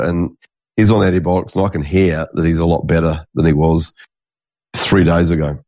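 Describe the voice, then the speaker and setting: A man's voice: deep voice, darkish tone, no room sound. A man, congested, rambling through a solo podcast monologue and restarting his sentences. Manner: rambling, swears, trails off and restarts. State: congested, stuffy nose. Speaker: podcast host.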